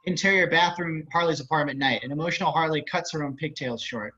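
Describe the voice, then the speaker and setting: A man's voice: a little high, fast, bright, forceful tone. A man reading stage and screen directions aloud as scripted narration. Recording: muffled online call audio.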